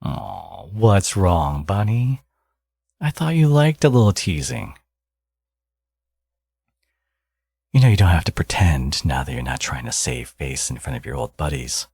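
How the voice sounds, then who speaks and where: A man playing a smug, teasing villain with a secretly caring side in an ASMR audio roleplay, speaking casually and sardonically with a husky voice. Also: sits low, very animated, moderate pace, a little mellow, dry recording, heavily acted. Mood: teasing, flirty.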